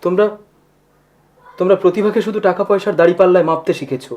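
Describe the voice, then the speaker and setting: A man acting as an idealistic film director with a frustrated, wounded streak. He speaks in dramatic film dialogue, halting and circling back on his own words with feeling. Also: high-pitched, steady tone, a little mellow, close to an everyday voice. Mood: bitter, wounded.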